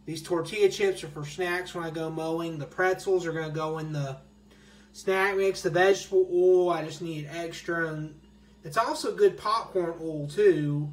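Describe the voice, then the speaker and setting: A man's voice: fairly high pitch, fairly even intonation, moderate pace. Plain-spoken man showing his groceries. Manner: plain, matter-of-fact item-by-item show-and-tell. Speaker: vlogger. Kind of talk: casual grocery haul vlog. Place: small indoor room at home. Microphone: handheld phone camera. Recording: echoey room with faint hiss.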